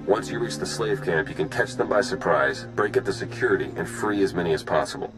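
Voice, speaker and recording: A man's voice: low-pitched, animated, fairly quick, music in the background, poor audio. A man playing a matter-of-fact mission planner in a video game, practical and instructive.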